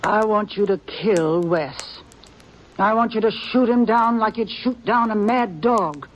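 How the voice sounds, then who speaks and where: A woman's voice: medium pitch, measured pace, lightly acted, rough recording. An actress playing a grim old frontier grandmother. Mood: bitter. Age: older. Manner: plain rural speech, grim and steady. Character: stern elderly frontier grandmother, grim and resolute. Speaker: grandmother of a young gunman. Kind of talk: 1950s radio drama acting. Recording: faint hiss on an old radio recording.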